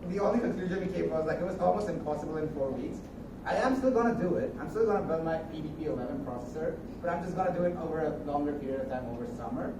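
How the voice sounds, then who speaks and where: A man's voice: a little high, very fast. A young man giving a casual, informal presentation of his student project. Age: young adult. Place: noisy indoor room at a showcase. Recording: very echoey room, distant mic, noise.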